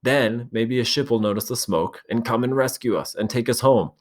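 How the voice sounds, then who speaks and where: A man voicing an earnest boy chief who speaks loudly and insistently. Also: mid-range voice, normal speed, pitched above the performer's own voice, close to an everyday voice. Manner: forceful, insistent.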